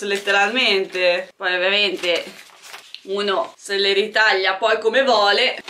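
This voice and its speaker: A young woman presenting a vlog in a casual, chatty, slangy way, with animated delivery. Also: mid-range voice, slight background noise. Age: young adult. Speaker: vlogger and streamer. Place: indoor room, seated on a sofa.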